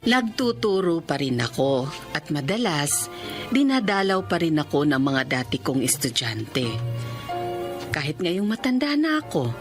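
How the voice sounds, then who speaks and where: A woman's voice: low-pitched, very animated, unhurried. An actress playing an elderly woman teacher, narrating earnestly and reflectively for a radio drama. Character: elderly woman special education teacher looking back fondly. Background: music.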